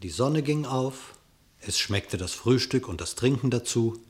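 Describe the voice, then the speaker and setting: A man narrating a classic radio play in literary verse, telling the story in a measured, formal way. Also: medium pitch, expressive.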